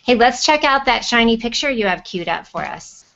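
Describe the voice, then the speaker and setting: A woman's voice: fairly high pitch, expressive, moderate pace, gravelly, a bit bright. A middle-aged woman hosting an online interview show over a video call. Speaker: host. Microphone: computer mic on a video call. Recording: dull, lo-fi video call audio.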